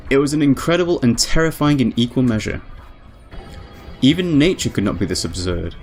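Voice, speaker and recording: A man's voice: medium pitch, animated, a little fast, a little mellow, close to an everyday voice. A man voicing a proud young witch who narrates her tale in a grave, formal, ornate way. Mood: tense narration. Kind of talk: dramatic visual novel narration. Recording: music underneath.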